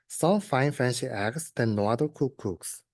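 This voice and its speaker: A man's voice: mid-range voice, unhurried, a little mellow, no room sound, clean studio sound. A young man, a university student, reading a rhyming children's story aloud. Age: young adult.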